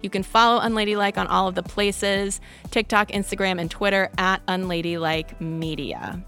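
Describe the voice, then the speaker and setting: A woman's voice: mid-range voice, steady tone, moderate pace, a bit bright, faint music behind. A woman hosting a podcast, chatting in an upbeat way and speaking straight to her listeners. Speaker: podcast host. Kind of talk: casual podcast outro.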